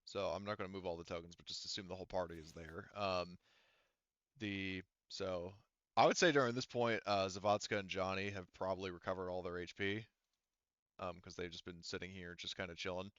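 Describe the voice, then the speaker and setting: A man with a smooth, low voice runs a tabletop roleplaying game in a casual, conversational way, swearing freely as he thinks aloud. The recording is dry and a bit dull. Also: fairly even intonation, a little fast, a bit bright, no echo. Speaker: game master.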